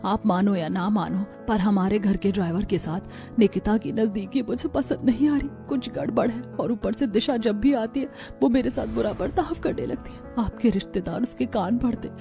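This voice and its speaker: A woman acts as a worried stepmother in a cartoon melodrama, pleading and aggrieved. Her pitch is mid-range, with soft music underneath. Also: moderate pace, gravelly, natural voice. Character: worried, well-meaning stepmother of a grown daughter.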